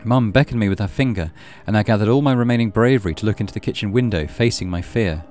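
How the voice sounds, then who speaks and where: A man's voice: on the low side, quick pace, darkish tone, soft background music. A man reading a horror visual novel aloud as dramatic first-person narration. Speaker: game streamer reading aloud.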